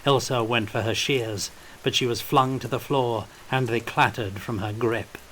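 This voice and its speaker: Slow-paced male audiobook narrator. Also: medium pitch, steady tone, a bit bright, slight background noise, poor audio. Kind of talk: scripted fiction narration.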